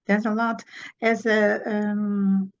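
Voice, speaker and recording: Slow-talking Portuguese woman author. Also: mid-range voice, flat delivery, dull audio. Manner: hesitant, many fillers, laughs often. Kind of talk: interview answers.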